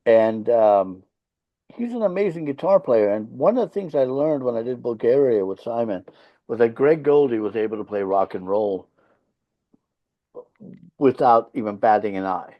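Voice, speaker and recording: A man's voice: medium pitch, expressive, normal speed. Finnish-born guy, swedish first language, rock band leader on a podcast, cusses a lot and gets emphatic, kinda lo-fi sound. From Finland. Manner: blunt, profane, emphatic storytelling. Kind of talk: casual interview chat.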